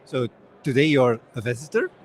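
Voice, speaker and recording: A man's voice: medium pitch, very animated, normal speed, slight background noise. A man interviewing a guest at a tech conference, asking curious questions with light jokes. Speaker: interviewer, host. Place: conference venue.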